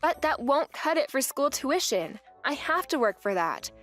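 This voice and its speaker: A woman's voice: sits high, sing-song, moderate pace, fairly bright tone, soft background music. Earnest young woman narrating a manga dub. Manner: earnest, weary storytelling. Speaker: narrator and wife.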